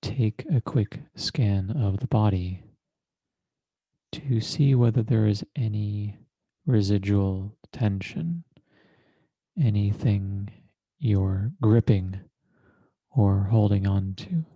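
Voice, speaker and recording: A man leading a guided meditation as a teacher, speaking in a deliberate, measured way with long pauses and formal, precise wording. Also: lowish voice, dark tone, breathy edge, dry recording, dull audio.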